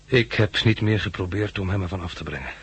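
An actor playing a polite, hesitant, sober hospital patient in a 1960s radio drama. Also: male voice, deep voice, a little fast, a bit bright, husky, full character voice. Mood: sombre narration. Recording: old 1960s radio recording, faint hiss.

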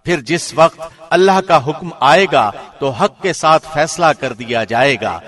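A man reading a scripture translation as formal narration, solemn and measured, with careful enunciation. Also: on the high side, animated, a bit bright. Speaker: translation narrator, radio host.

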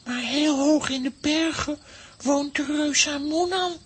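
Hoog, ernstig, langzaam pratend jongetje. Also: female voice, high voice, measured pace. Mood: wistful. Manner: simple, earnest storytelling. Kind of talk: children's audio drama acting. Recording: background noise, crickets.

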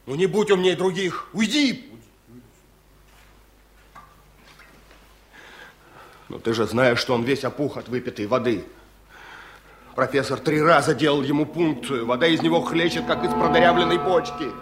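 An actor playing a weary, bitter, gruff village man in a Soviet radio drama. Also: on the high side, brisk, a bit bright, lightly acted. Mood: gruff, strained.

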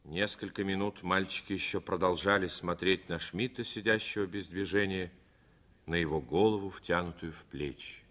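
A man narrating a 1950s radio drama as a measured, expressive storyteller. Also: low voice.

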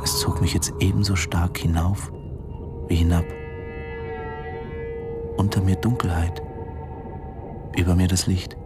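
Deep voiced dive instructor, brooding and measured, radio drama with music under it. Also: male voice, flat delivery. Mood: brooding, tense. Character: brooding male diving instructor recounting a dangerous dive. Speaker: narrator and lead actor in a radio drama. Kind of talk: German radio drama acting, first-person narration.

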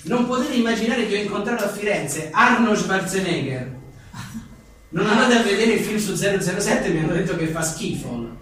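Echoey, higher-pitched man joking drily. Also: lively intonation, brisk, fairly bright tone. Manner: wry, joking, with offhand asides. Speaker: journalist and author presenting his book. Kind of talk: reading out comic one-liners at a live book presentation. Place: live book presentation in a reverberant room. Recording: echoey room, distant mic, faint noise.

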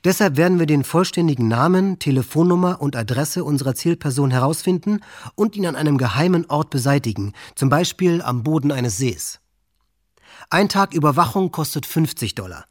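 A menacing teenage gunman, higher-pitched. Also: lively intonation, a little fast. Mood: businesslike threat. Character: teenage male school shooter, coldly menacing and boastful. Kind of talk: documentary radio drama acting.

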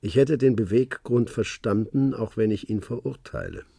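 An actor playing a cryptic old retired secret-service man who talks in measured hints. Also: mid-range voice, steady tone, gravelly, dark tone, breathy edge, no echo, clean studio sound. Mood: calm. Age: older. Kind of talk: radio play acting.

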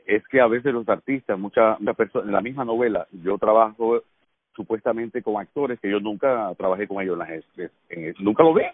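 A man's voice: lowish voice, a little fast, no echo. Middle-aged Cuban man, actor guest, chatty storyteller, phone-line sound. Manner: lively storyteller, laughs often. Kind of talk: casual radio conversation.

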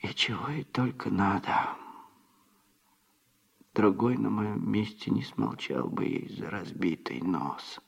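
Slow, husky, boastful drifter. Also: male voice, on the low side, lively intonation, dull audio, exaggerated voice. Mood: grumbling, self-pitying. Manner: unhurried, colloquial, self-satisfied. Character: footloose odd-job man, boastful, self-pitying womanizer. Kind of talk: Soviet radio drama acting.

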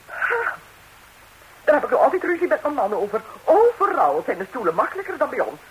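A woman's voice: sits high, big pitch swings, brisk, husky, exaggerated voice, low-quality recording. An actress playing a nervous, nosy married lady in a radio comedy, talkative and stammering as she repeats herself. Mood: chatty. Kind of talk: 1960s radio comedy acting in Dutch. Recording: hissy 1960s radio recording.

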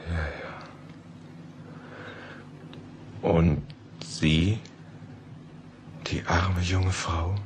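An actor in a German radio play, playing a troubled, hesitant poet who asks questions with subdued concern. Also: male voice, low-pitched, level tone, drawn-out words. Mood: troubled, questioning. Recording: noisy old radio play recording.

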